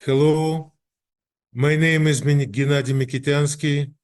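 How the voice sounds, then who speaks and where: Slow-speaking man from Ukraine. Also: a little high, fairly even intonation, a little mellow. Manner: brief and slow. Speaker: candle lighter at a remembrance ceremony.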